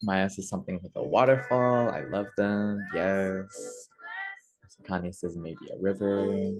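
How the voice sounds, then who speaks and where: A woman with a very deep, low voice hosts an online webinar. She talks in an upbeat, friendly way, full of fillers and eager enthusiasm, heard through a computer mic on a video call. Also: a little slow, darkish tone, faint music behind. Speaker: webinar host, community education manager.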